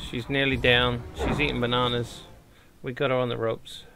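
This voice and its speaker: A man's voice: mid-range voice, flat delivery, moderate pace, vocal fry, background noise. A man streaming a video game, giving casual chat and commentary to his crewmates. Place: indoor room at home.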